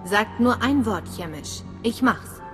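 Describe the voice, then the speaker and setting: Eine Schauspielerin als kühle, selbstbewusste Geheimagentin in einem Videospiel, knapp und selbstsicher im Ton. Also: female voice, a little high, expressive, slow. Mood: confident. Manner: self-assured, clipped. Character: cool, confident female undercover imperial agent. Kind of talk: dramatic video game dialogue in German. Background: background music.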